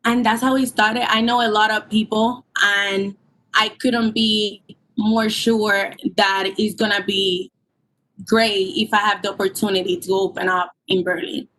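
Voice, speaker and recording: A woman of twenty-one, explaining her business plan earnestly at a public hearing, with halting ums, over a call line. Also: medium pitch, flat delivery, fairly bright tone, rough recording. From Puerto Rico. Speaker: permit applicant. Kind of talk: remote public hearing testimony.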